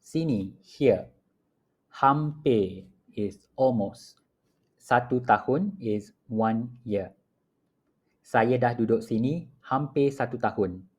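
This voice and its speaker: A man teaching phrases at home, repeating each one slowly and clearly for learners in a patient lesson style. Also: medium pitch, a little mellow. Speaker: language teacher. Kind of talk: slow, repetitive phrase-by-phrase teaching. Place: home apartment.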